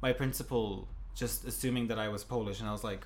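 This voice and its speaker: A young adult from a Russian-speaking family in Europe who moved abroad at six, talking in an animated, rambling way in casual chat. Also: medium pitch, fast talker. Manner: animated, rambling, many fillers. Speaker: podcast co-host, younger sibling.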